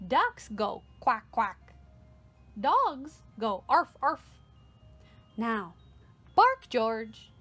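Storybook mother dog, woman reader: patient, corrective, slow and high. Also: big pitch swings, a bit bright, slightly rough, soft background music, exaggerated voice. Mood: patient correcting. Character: patient mother dog teaching her pup.

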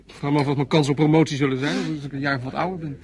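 An actor playing a young, ambitious soldier in a Dutch radio play, chatty and inquisitive. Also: male voice, on the high side, flat delivery, very fast, darkish tone, lightly acted. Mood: chatty, curious.

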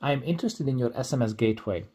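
Husky, mellow male caller. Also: mid-range voice, fast. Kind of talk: demonstration phone conversation.

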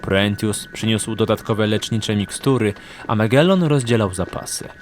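Low-voiced man narrating fantasy. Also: normal speed, slight background noise. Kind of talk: scripted fantasy audiobook narration.

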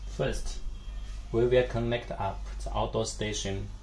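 A man giving a hands-on instructional demonstration as a product demonstrator, speaking haltingly in short phrases in a small room. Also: lowish voice, poor audio. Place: small indoor room with a work table. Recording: steady background room noise.

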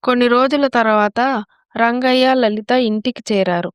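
A woman narrates a story in a fairly high voice. It is scripted storytelling, recorded dry with no room sound. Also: a little slow, smooth, dry recording.

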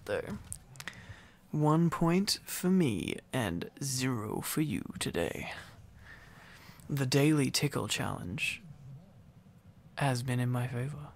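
Needy silly boyfriend, acted by a man, ASMR roleplay, affectionate teasing, unhurried rambling, creaky voice. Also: medium pitch, slight background noise. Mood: tender, teasing.

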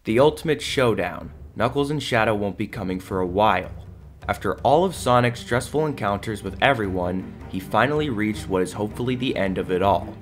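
Casting: male narrator for audio drama, slightly low voice, scripted delivery, faint music underneath. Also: lively intonation, fairly quick.

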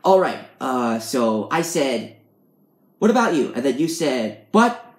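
Male reader as cheeky comic-story underdog, exasperated and argumentative, animated pitch, roomy recording. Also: measured pace. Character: hapless, cheeky man narrating his misadventures. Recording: roomy, echoing room sound.

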